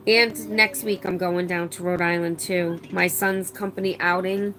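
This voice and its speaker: A woman's voice: fairly low pitch, flat delivery, measured pace, a bit bright, rough recording. Middle-aged woman, live stream host, rambling casual chat, slightly strained voice, faint background hiss. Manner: chatty, rambling, many fillers and restarts. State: voice slightly strained after laryngitis. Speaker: livestream host. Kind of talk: casual live chat, reading out viewer comments.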